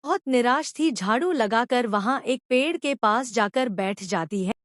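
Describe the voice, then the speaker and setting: A woman's voice: fairly high pitch, lively intonation, unhurried, no echo, clean studio sound. A woman narrating a scripted moral story for children as a storyteller.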